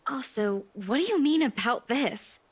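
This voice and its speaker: A woman's voice: a little high, lively intonation, a little slow, husky. An actress playing a concerned young woman in a visual novel, questioning, caring and plain-spoken. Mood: worried, caring. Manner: questioning, solicitous.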